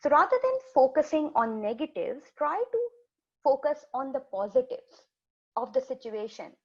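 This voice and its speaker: A woman whose first language is Urdu walks students through a health talk, her voice sitting a little high. She explains step by step, restarting and repeating phrases as she goes. The audio is dull and lo-fi, like a webinar call. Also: moderate pace, breathy edge. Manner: steady explanatory, restarts words, repeats points. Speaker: health educator presenting a webinar. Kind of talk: slide presentation to students.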